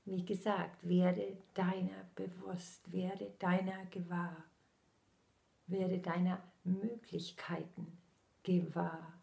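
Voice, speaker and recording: Older lady in her 60s channeling a message, super slow and solemn, gravelly voice, half whispering into her laptop. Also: lowish voice, little pitch movement. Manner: slow, solemn, eyes closed, drifting into soft whispers. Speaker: spiritual medium. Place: small indoor room at home.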